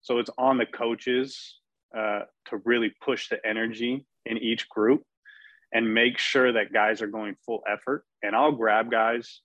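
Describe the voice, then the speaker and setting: Energetic coach on a muffled line. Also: male voice, medium pitch, a little slow, no echo. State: losing his voice. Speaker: performance coach. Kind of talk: panel discussion. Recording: dull, dry lo-fi audio.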